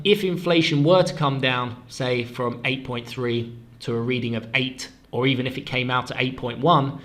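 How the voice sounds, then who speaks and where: A man of middle pitch talks through a weekly currency forecast with a stuffed-up voice from a cold. He explains briskly and stresses the key words. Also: a bit bright. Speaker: forex analyst and show host. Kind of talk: weekly market forecast talk.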